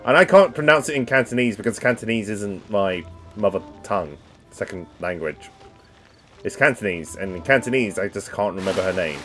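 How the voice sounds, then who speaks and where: Guy doing a lets play, rambly lively talk, lots of ums, faint music behind. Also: on the low side, a little fast. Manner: rambling, chatty, full of ums and asides. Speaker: gaming video host. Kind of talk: casual let's-play commentary.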